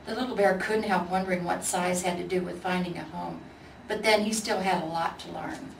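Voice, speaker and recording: American woman, children's story narration, medium pitch, echoey room, distant mic. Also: steady tone, fairly quick, gravelly, slight background noise. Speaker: volunteer story reader.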